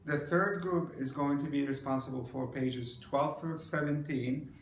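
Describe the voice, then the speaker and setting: A man teaching a class, giving deliberate, encouraging instructions to students in an indoor room. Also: mid-range voice, gravelly, a bit bright, a little hiss or hum. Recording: echoey room.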